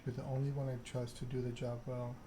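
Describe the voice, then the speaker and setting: A man plays a proud, scheming game vampire, delivering a self-important monologue in ASMR style. The pitch is middling and very level, and clear noise sits behind the voice. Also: flat delivery, quick pace, lightly acted. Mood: smooth, persuasive. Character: proud, scheming vampire aristocrat telling their story. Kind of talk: ASMR read-aloud of game dialogue.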